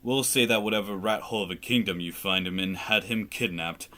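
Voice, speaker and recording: A man's voice: on the low side, fairly quick, pushed and bright. A teenage actor playing a treacherous middle-aged king in a school radio play, smug, mocking and theatrical. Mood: scheming. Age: teens. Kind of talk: amateur radio play acting.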